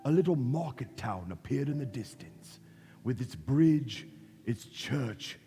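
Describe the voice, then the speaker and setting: A man narrating a radio play in a deliberate, dramatic storytelling manner, recorded on a reverberant theatre stage. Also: medium pitch, lively intonation, mellow. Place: theatre stage, live performance at featured mics. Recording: echoey theatre stage, faint music.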